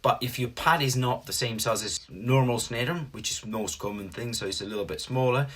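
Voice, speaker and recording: A man's voice: mid-range voice, fairly quick, a bit bright, husky. A man in his thirties with a Finnish accent, patiently explaining drum notation step by step as a teacher, with small slips in his English. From Finland. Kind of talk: instructional explanation.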